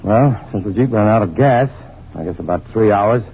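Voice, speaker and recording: An American actor playing a calm, reassuring, educated scientist hero in an old-time radio drama. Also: male voice, fairly low pitch, normal speed, close to an everyday voice. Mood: brisk, easygoing. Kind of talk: 1950s radio drama acting. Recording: dull, lo-fi old radio, faint noise.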